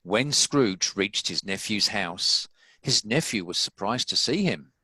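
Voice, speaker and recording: A male narrator with a New Zealand accent reads a story. His voice is fairly low yet bright and crisp-edged, recorded close and dry. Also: animated, unhurried, dry recording.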